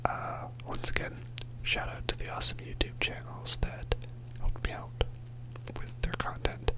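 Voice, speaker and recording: A man whispering a soft, rambling ASMR commentary over gameplay as host of his channel, joking about himself with quiet laughs. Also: measured pace, low-quality recording. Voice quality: whispering throughout.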